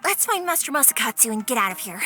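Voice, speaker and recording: A woman's voice: on the high side, very animated, quick pace, sharp tone. A woman voicing a cheerful, spirited young fireworks maker in an anime game, friendly and brave, with a breezy, colloquial manner. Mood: hushed, resolute. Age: young adult. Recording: music behind.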